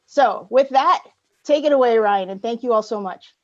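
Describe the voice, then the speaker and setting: A woman's voice: fairly high pitch, normal speed, lo-fi sound. Woman giving formal welcome remarks. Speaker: embassy representative opening the event. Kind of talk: reading prepared welcome remarks. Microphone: video call.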